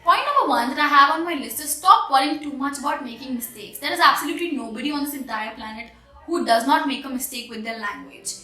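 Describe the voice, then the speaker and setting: A woman's voice: sits high, fast talker, bright, forceful tone, roomy sound, recorded from a distance. A woman with an Hindi-speaking Indian accent giving earnest, encouraging English lessons to viewers. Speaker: English teacher.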